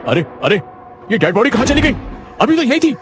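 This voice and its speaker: A terrified doctor in a horror cartoon, pleading in a very high, swooping voice. He shouts in shrill panic, with loud music underneath. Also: sing-song, brisk. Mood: alarmed. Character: terrified male doctor begging for his life.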